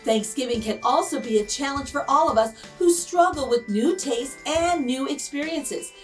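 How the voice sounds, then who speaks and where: A woman's voice: high-pitched, moderate pace, soft background music. A woman host reading a children's storybook aloud expressively, drawing out key words for emphasis. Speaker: children's picture book read-aloud host.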